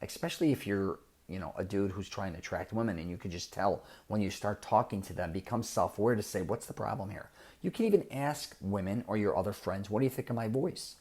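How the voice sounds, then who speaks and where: A middle-aged man with a somewhat low voice talks straight at the listener. He sounds blunt and direct, like a coach giving frank advice in a casual podcast monologue. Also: fairly quick, a little mellow, slightly rough. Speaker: podcast host.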